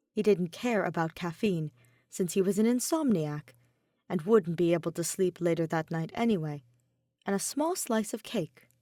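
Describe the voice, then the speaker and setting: A woman's voice: mid-range voice, dark tone, no echo, studio quality. A woman reading a scripted piece of fan fiction as its narrator. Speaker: podfic narrator.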